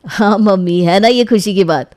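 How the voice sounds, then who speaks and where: A woman acts out an easygoing adult son in a comic cartoon. The voice is dark and mellow, with a reassuring delivery. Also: medium pitch, normal speed, lightly acted. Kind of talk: Hindi comic cartoon acting.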